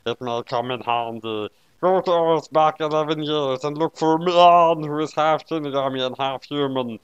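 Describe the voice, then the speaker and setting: A man voicing a solemn female death-god of time in slow, drawn-out, portentous lines from a fan story. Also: fairly high pitch, clear, resonant tone, no room sound, lo-fi sound, lightly acted. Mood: grave, portentous.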